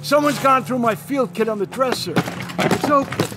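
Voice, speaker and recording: An actor playing a smug, evasive, adulterous CIA agent in a radio play, stammering at times. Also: male voice, very high-pitched, moderate pace. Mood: panicked. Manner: flirtatious, hesitant and stammering. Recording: radio drama with music underneath.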